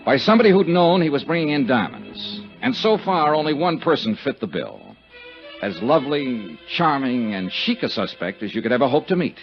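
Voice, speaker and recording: An actor playing a sardonic, flirtatious American investigator with clipped, wry speech in 1950s radio detective style. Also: male voice, mid-range voice, sing-song, normal speed. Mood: brooding narration. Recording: dull old radio recording with music.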